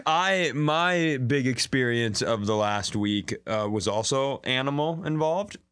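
A man's voice: mid-range voice, animated, unhurried, a bit bright, smooth. An American man co-hosting a comedy podcast, telling a story casually in a self-deprecating, stop-and-start way.

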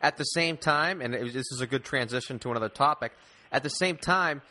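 A man's voice: medium pitch, brisk, sharp tone. A man hosting casual sports talk, speaking emphatically and repeating phrases to stress his points.

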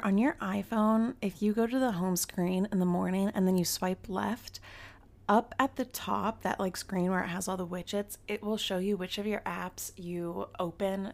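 Young woman, twenties, podcast host, raspy morning voice, gravelly fry, chatty, giggly, lots of fillers. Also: medium pitch. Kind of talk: casual solo podcast chat.

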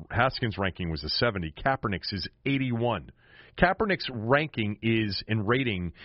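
Low-voiced American sports host, dull dry recording. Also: male voice, no room sound. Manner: opinionated, emphatic. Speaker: sports podcast and radio host. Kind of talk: casual solo sports commentary.

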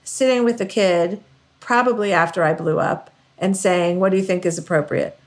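A woman's voice: lowish voice, fairly even intonation, normal speed. A middle-aged American woman chatting casually as a podcast co-host, self-deprecating and peppering her sentences with "like". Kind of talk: casual conversational chat.

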